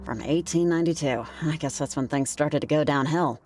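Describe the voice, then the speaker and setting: A woman's voice: low-pitched, fairly quick, a little mellow, a little hiss or hum, close to an everyday voice. A woman playing a curious, wry, level-headed heroine in an adventure game, casual and questioning. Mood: reading aloud. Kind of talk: naturalistic game voice acting. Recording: faint music under the voice.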